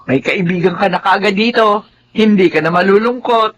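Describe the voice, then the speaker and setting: A woman's voice: medium pitch, moderate pace, lightly acted. A woman with a Filipino accent acting a kindly middle-aged aunt in a radio drama, motherly and reassuring.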